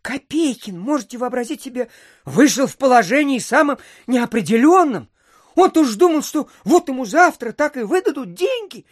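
Rambling, folksy storyteller official. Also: male voice, very high voice, animated, moderate pace. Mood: animated, ironic. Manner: folksy, rambling, peppered with filler phrases. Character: chatty provincial official spinning a tale. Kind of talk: theatrical storytelling in an audio play.

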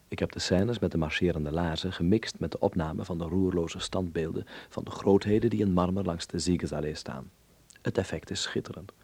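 An actor playing a young Dutch amateur filmmaker in a radio drama, wry and observant, with a reflective, measured delivery and a gravelly voice. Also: male voice, low-pitched, darkish tone, no room sound. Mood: wry, pleased. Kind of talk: radio drama acting and letter narration. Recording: noisy old radio play recording.

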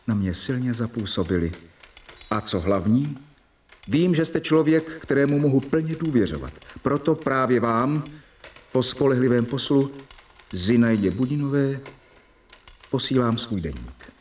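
Earnest young male surgeon played by an actor, radio drama, formal and urgent confiding, mid pitch, slightly off-mic. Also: a little mellow, recorded from a distance, a little hiss or hum, lightly acted. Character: earnest anxious young surgeon, a man, reading out his letter. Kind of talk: radio drama letter monologue. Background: faint music.

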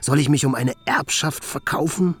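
An actor in a radio play playing an effusive, restless, romantic writer who speaks in a lyrical, declamatory way. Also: male voice, a little high, moderate pace, husky. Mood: earnest, elated. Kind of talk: German radio play acting.

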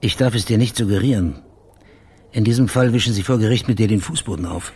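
Dogged male investigator for radio crime drama: very raspy, low, terse, pressing. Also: fast, darkish tone. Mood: pressing, blunt. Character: dogged, blunt male investigator questioning witnesses and reasoning out a murder. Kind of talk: naturalistic German radio crime drama acting.

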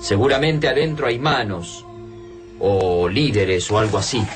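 A man's voice: lowish voice, expressive, measured pace. A man with an Argentine accent playing a thoughtful, analytical survivor in a radio drama. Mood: focused, analytical. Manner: observant, reflective. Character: thoughtful, analytical male survivor studying invaders. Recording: distant mic, music underneath. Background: music.

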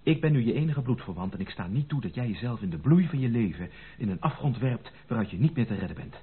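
An actor playing an earnest, protective gentleman cousin in love, with emphatic, formal delivery in a Dutch radio play. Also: medium pitch, lively intonation, fast talker, slightly rough. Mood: insistent, agitated. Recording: muffled, narrow-band old radio recording.

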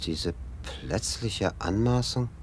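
A man's voice: lowish voice, slow pace, husky. An actor playing a naive, wounded young man from the provinces in a radio drama, hesitant and bewildered. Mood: offended. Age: young adult. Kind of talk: literary radio drama acting.

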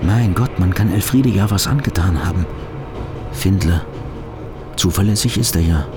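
A man's voice: deep voice, normal speed, music in the background, natural voice. An actor playing an anxious, hunted businessman, thinking to himself in an inward radio play monologue.

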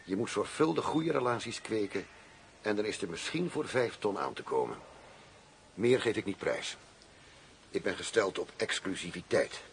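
An actor playing a cool, demanding arms buyer in a radio drama, formal, firm and self-assured. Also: male voice, lowish voice, expressive, moderate pace, creaky. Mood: haughty, impatient. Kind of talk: Dutch radio drama acting. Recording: noisy old radio drama recording.

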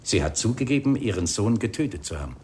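Low-voiced, formal police inspector. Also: male voice, very animated, a little mellow, slight background noise. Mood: firm, insistent. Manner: formal, hesitant, measured. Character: polite, persistent male police inspector breaking grim news. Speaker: actor in a radio crime drama. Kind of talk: radio play acting.

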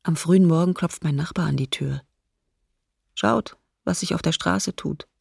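An actress playing a grave, intense eyewitness giving testimony in a documentary radio drama. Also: female voice, lowish voice, normal speed, gravelly, a little mellow, breathy edge, no room sound, studio quality, full character voice. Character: eyewitness recalling endless crowds, grave, intense.

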